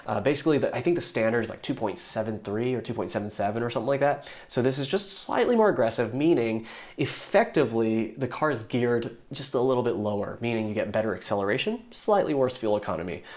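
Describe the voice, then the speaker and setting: A hoarse young man talking casually and enthusiastically, rambling with fillers and restarts as he explains things to his viewers. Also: medium pitch, expressive, quick pace, darkish tone. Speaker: YouTube car reviewer.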